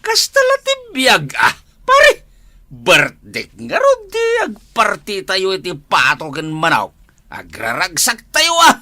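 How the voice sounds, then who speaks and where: A man's voice: high voice, wildly swooping pitch, slow pace, bright, forceful tone, no echo, heavily acted. A man playing a jolly, boisterous village drinking buddy in a comedy drama, with a northern Philippine accent.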